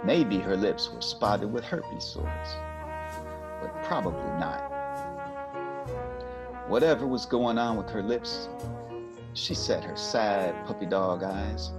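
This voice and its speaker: A man acts out a hardboiled radio-noir narrator, low-pitched and very unhurried. He tells it deadpan, with a wry, lecherous streak, while brass and guitar music plays behind him. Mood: wry, smitten. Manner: deliberate, deadpan.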